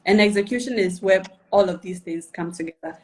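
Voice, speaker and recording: A woman teaching personal branding on a live video session. Her voice sits at an ordinary middle pitch, and she talks patiently and methodically. She builds her points through lists and rhetorical questions and keeps checking that the listener follows. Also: a little fast, a little mellow, rough recording. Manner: explanatory, checks for understanding with "right?" and "okay?". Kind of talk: instructional live chat.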